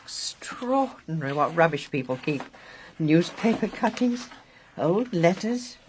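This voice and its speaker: Brisk, no-nonsense village nurse. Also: female voice, on the low side, expressive, very noisy. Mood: brisk, bossy. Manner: blunt, bossy. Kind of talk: British radio drama acting.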